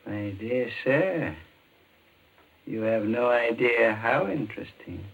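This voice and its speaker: An actor playing a mysterious, formally courteous pawnshop keeper and chess devotee in a 1940s radio mystery. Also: male voice, mid-range voice, animated, gravelly, full character voice. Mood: sly, deliberate. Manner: formal, deliberate, unctuously polite. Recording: tinny, band-limited 1940s radio recording.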